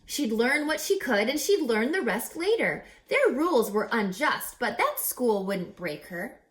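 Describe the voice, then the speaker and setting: A woman reading a rhyming children's picture book aloud as a teacher, with theatrical, deliberate, emphatic phrasing. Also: on the high side, sing-song, fairly bright tone. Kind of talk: expressive children's read-aloud of rhyming verse.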